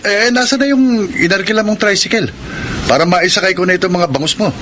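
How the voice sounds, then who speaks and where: A man's voice: sits high, a little fast, noisy background, lightly acted. A man acting a helpful, easygoing young fish port worker in a Filipino radio drama. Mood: friendly.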